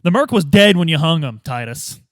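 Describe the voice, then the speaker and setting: A man plays a dogged detective in a tabletop game, his fairly high voice swinging widely in pitch. He questions people bluntly and insistently, with informal exasperation. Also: very animated, fairly quick, no room sound, studio quality. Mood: firm, confronting.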